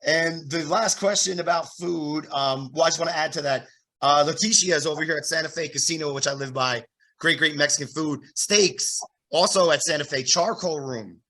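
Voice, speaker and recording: Bright-voiced laid-back male host. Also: fairly high pitch, moderate pace. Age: 40s. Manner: laid-back, friendly bro talk with casual swearing. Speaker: podcast host and drummer. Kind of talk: casual interview chat. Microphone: video call.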